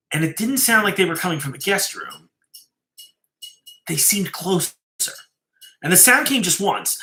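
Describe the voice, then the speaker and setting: Male storyteller, bright forceful voice, very animated pitch, suspenseful dramatic reading, deliberate emphasis, hushed phrase endings. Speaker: storyteller, host of a live reading show.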